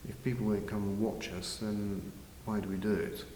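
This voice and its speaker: Interviewee: older man, very low voice, halting and measured, recorded in a reverberant hall. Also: little pitch movement, dark tone. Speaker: interviewee, village pantomime organiser. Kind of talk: informal interview answers. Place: large village hall, indoors. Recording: very echoey hall, faint noise.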